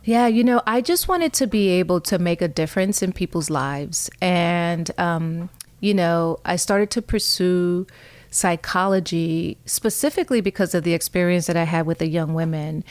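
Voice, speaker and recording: A woman in her forties from New York City whose first language is Spanish, talking earnestly and thoughtfully as a guest with frequent fillers. Her voice is smooth and resonant, sitting a little low, and the recording is dry and close. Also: moderate pace, darkish tone, no echo. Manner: reflective, earnest, many fillers. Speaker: guest, fire chief. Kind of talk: casual interview conversation.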